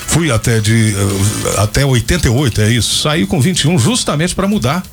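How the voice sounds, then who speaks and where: A man's voice: medium pitch, lively intonation, normal speed, creaky, music in the background. A man in his fifties hosting a radio show, chatting casually and rambling off into stories and asides. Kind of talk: casual on-air chat and storytelling.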